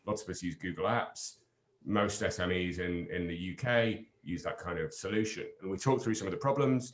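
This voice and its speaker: A man leading an online panel discussion, explaining things in a measured way with the odd filler. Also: low voice. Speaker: panel lead presenter.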